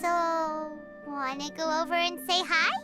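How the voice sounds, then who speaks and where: A woman's voice: very high-pitched, steady tone, measured pace, music in the background. A woman voicing a small, childlike female creature in a video game, curious and caring. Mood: relieved.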